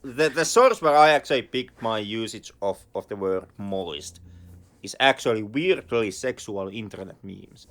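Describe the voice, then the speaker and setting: A man from Finland with a Finnish accent, co-hosting a podcast and chatting in a halting way, repeating words as he searches for them. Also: fairly low pitch, a bit bright. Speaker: podcast co-host. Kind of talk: casual chat.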